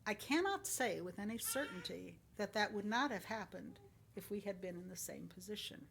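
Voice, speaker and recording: A woman reading a sermon from a script as a lay church speaker, in earnest, deliberate phrases. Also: mid-range voice, lively intonation, gravelly, fairly bright tone, slight background noise. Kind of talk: sermon, read from a script with short personal commentary.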